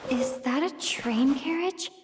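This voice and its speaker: A woman's voice: sits high, takes it slowly, noisy background. A woman voicing a determined young female traveler in a video game, thinking aloud in short phrases. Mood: puzzled, curious. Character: young female traveler searching for her lost companion, determined. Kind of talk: scripted game voice acting. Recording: background music under the voice.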